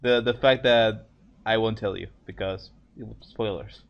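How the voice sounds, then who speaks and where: Halting man reading fan fiction aloud. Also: lowish voice, steady tone, creaky, dull audio. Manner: halting, stumbles over and repeats phrases. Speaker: story narrator, co-host. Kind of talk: amateur fan fiction reading with casual banter.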